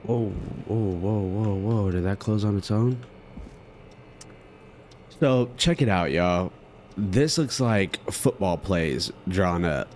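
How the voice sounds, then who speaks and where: A man's voice: low-pitched, animated, slow pace, darkish tone, a little hiss or hum. A man giving casual let's play commentary, rambling in slang and talking to his viewers. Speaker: gaming video host.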